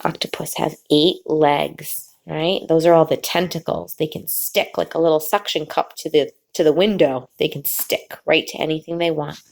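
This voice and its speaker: A woman teacher reading a picture book aloud to children, pausing before key words and explaining the pictures. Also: sits low, steady tone, gravelly. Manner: slow, drawn-out reading with sing-song pauses before the last word.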